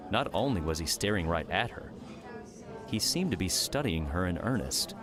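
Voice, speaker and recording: A man's voice: low voice, animated, moderate pace, crowd noise. A man reading scripted third-person story narration as an audiobook narrator.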